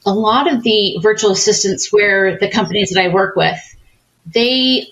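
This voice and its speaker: A woman consultant speaks as a podcast guest, her voice sitting in a mid range. She explains things patiently and in a friendly way, like a hands-on coach. Her delivery is relaxed and conversational. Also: medium pitch, moderate pace, muffled recording. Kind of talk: casual interview chat.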